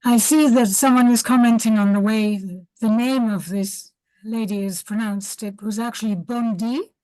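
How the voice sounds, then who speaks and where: A woman's voice: on the high side, fairly even intonation, normal speed, a little mellow, no room sound, low-quality recording. A woman audience member speaking conversationally on an online call, offering comments and reminiscences. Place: online video call, close dry sound.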